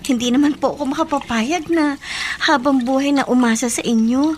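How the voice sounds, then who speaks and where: A woman's voice: high-pitched, fairly quick, a little hiss or hum, lightly acted. An actress playing a young pregnant woman who talks in an earnest, pleading and respectful way. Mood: worried. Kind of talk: radio drama acting.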